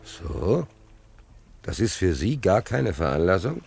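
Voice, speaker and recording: A hungry homeless male drifter for a radio play. His voice is deep and swings widely in pitch. He rambles in everyday speech, repeating himself, defiant yet tender-hearted. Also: big pitch swings, slow, creaky, darkish tone, husky, exaggerated voice. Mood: wry, provocative.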